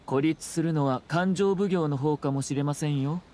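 A man playing a refined young noble commissioner in a Japanese anime game, speaking calm, formal words laced with veiled sarcasm. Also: fairly high pitch, normal speed, natural voice. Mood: cool, ironic. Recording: game dialogue over ambient scene noise. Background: game scene ambience.

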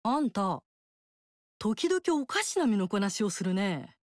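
A woman's voice: medium pitch, very animated, measured pace, no echo. An actress voicing a brash, motherly fire-goddess warrior woman in a studio game scene, talking with bold, teasing, big-sister swagger. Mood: warm. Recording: dry studio recording.

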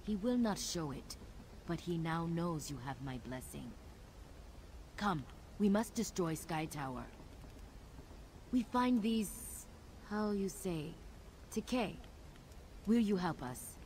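A gentle alien tribeswoman, speaking very slowly in a lowish voice and halting, broken English. The video game cutscene carries a faint hiss behind her. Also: expressive, rough recording. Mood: earnest.

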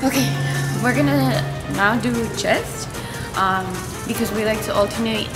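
A young woman casually explains the workout plan to viewers in a relaxed, plain way. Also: a little high, unhurried, poor audio. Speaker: workout partner. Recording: loud music over the voice.

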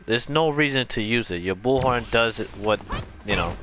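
A man's voice: medium pitch, lively intonation, moderate pace, fairly bright tone, rough recording. A man giving a casual, unscripted gameplay explanation, rambling and correcting himself as he goes. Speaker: video game tutorial creator.

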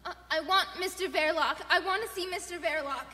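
A woman playing a shocked, grieving wife in a live radio play, speaking in clipped, halting phrases. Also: very high voice. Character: shocked, grieving young wife, brittle and anguished. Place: indoor theatre stage before live audience. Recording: echoey theatre hall with loud music behind.

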